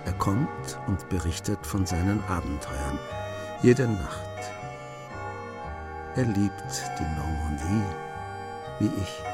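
A writer telling crime adventures in a radio play. His voice is on the low side, phrased with dramatic emphasis, and guitar music plays behind him. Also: expressive, slow, pauses often. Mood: wistful. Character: male writer narrating a master thief's adventures. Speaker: narrator.

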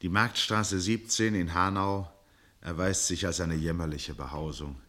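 Narrator for a 60s german radio drama, low pitched, measured, articulate, literary style. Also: male voice, fairly even intonation, fairly bright tone. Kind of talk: scripted literary narration, 1960s radio play.

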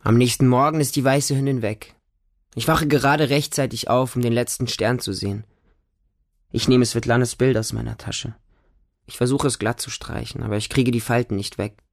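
A man's voice: mid-range voice, steady tone, normal speed, gravelly, no echo, clean studio sound, close to an everyday voice. A man playing a small, wary homeless boy who narrates his hungry street life in short, simple sentences for a radio drama. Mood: quiet, wistful. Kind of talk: radio drama monologue in present tense.

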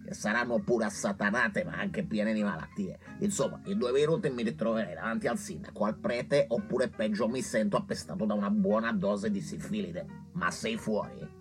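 An actor playing a cynical, self-satisfied young doctor with a Milanese accent, wheedling and slangy in a radio comedy. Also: male voice, fairly low pitch, normal speed, few pauses, faint music behind, lightly acted. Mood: dramatic pleading. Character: pleading, cynical, foppish young northern doctor. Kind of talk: theatrical radio comedy acting.

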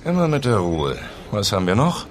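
A man's voice: fairly low pitch, big pitch swings, a little fast. A man acting a dogged, sceptical newspaper reporter with dry humour and a laconic, questioning manner in a naturalistic German radio drama. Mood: sceptical, probing. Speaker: actor.

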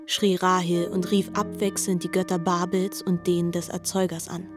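A woman reading as narrator in a literary radio play. Her voice is fairly low and very even, grave and deliberate, with faint music underneath.

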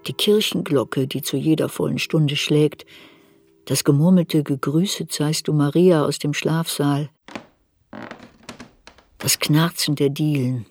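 An actress playing a reflective woman looking back on a troubled youth, narrating a radio drama in a measured, introspective way. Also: deep voice, darkish tone, slightly rough, no room sound, exaggerated voice. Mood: grave, introspective. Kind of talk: literary radio drama narration, first-person memoir. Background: music.

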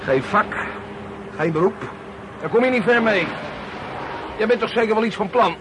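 An actor playing a chatty, blunt, nosy lorry driver in a radio drama. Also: male voice, high-pitched, normal speed, natural voice. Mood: chatty, prying. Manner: jovial, brash, prying. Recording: clear vehicle noise behind dialogue.

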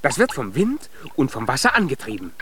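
An actor playing a proud, cheerful young dwarf inventor in a children's radio play, speaking with boastful emphasis. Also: male voice, medium pitch, wildly swooping pitch, fairly quick, a bit bright, a little hiss or hum. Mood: proud, excited. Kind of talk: children's radio play acting with some singing.

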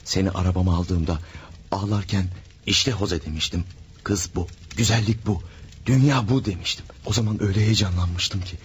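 A man's voice: deep voice, normal speed, a little hiss or hum, natural voice. An actor playing an infatuated married man in a radio drama, pleading and overwrought in his passion. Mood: impassioned. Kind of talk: 1970s-style radio drama acting.

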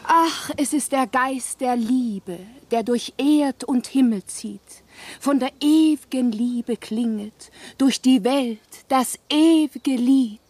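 An actress playing a tender, lovestruck, dreamy woman, reciting lyrically in a breathy voice in a radio drama. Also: high voice, slow, a little mellow, no echo, exaggerated voice.

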